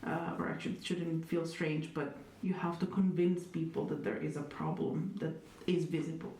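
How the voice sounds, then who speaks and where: Woman speaking in a flat, echoey room. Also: low-pitched, moderate pace. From Italy. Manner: thoughtful, many hedges and fillers, wry humor. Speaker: interviewee. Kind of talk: reflective conversational interview. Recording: echoey room, distant mic, faint noise.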